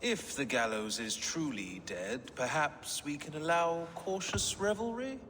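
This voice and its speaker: A man's voice: on the high side, animated, measured pace, a bit bright. A man playing a cautious, educated, dryly humorous Saxon ally in a video game. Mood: diplomatic. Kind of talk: video game dramatic acting. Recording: reverberant with faint background noise.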